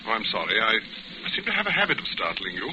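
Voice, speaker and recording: Suave gentleman, swooping pitch, noisy radio. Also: wildly swooping pitch, fast talker. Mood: apologetic, coaxing. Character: smooth, attentive, secretive gentleman ship passenger courting a woman. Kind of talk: 1940s radio drama acting. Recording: muffled, very noisy old radio recording.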